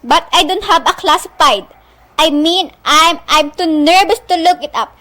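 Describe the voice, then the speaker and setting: A young woman playing a frantic, nervous, demanding married woman in an amateur radio play, with halting, stumbling delivery. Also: very high voice, bright, forceful tone. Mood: desperate, demanding. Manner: halting line delivery, stumbling over words.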